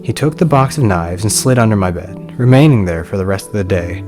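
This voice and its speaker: A man's voice: lowish voice, animated, brisk. A man reading a scripted first-person horror story as its narrator. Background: soft music.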